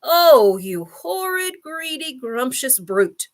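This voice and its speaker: An indignant storybook beast, acted out by a woman, shocked and scolding. He exclaims slowly, with big leaps in pitch. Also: big pitch swings, vocal fry, bright, forceful tone, heavily acted. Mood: outraged. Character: indignant male animal scolding a villain. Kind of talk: children's storybook character reading.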